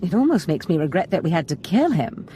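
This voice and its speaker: An actress playing a scheming, gleeful, bookish Sith master in a video game, chatty and theatrical with a purring manner. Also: female voice, lowish voice, big pitch swings, a little fast, mellow, slight background noise. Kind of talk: video game cutscene acting.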